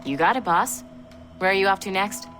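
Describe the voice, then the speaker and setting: A woman's voice: mid-range voice, lively intonation, normal speed, fairly bright tone, lightly acted. An actress playing a skeptical intelligence analyst in a game audio log, casual, blunt and sardonic with her boss. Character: wry, skeptical female intelligence analyst, loyal subordinate. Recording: music under the voice.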